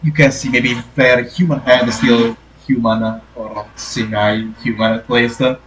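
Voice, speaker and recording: A man's voice: mid-range voice, little pitch movement, unhurried, a bit bright, slight background noise, rough recording. A man from Italy speaking English with an Italian accent, a guest artist chatting hesitantly with lots of fillers. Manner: hesitant, many fillers, searching for words. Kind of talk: casual podcast chat.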